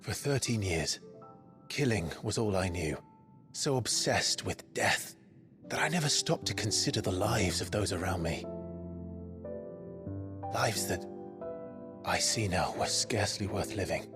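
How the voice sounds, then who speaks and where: A brooding young warrior from a video game, earnest and resolute. He has a low, deep voice and speaks solemnly, with deliberate care, over faint music. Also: animated. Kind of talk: video game cutscene acting. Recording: music behind the voice.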